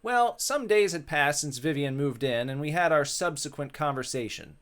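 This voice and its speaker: A man's voice: on the high side, expressive, moderate pace, a bit bright, clean tone. A man voicing a mischievous, vain, lecherous male ghost in a theatrical, exclamatory way for a visual novel. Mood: defensive, boastful.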